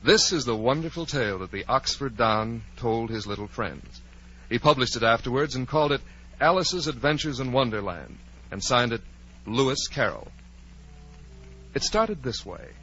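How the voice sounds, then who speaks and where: Male storybook narrator, mid pitch with lively swings, vintage radio style, muffled recording. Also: moderate pace, breathy edge.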